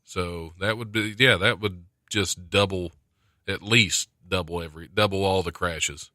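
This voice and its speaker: American male radio host, sharp forward voice, rambling self-deprecating comic talk, dry close studio sound. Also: low voice, steady tone, a little slow, dry recording. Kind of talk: casual comedy talk.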